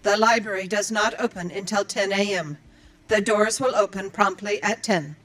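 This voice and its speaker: A woman's voice: medium pitch, moderate pace, a bit bright, lightly acted, low-quality recording. A woman playing a dutiful, earnest android librarian in an audio drama, speaking in formal, precise, procedural phrases. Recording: music behind the voice. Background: music.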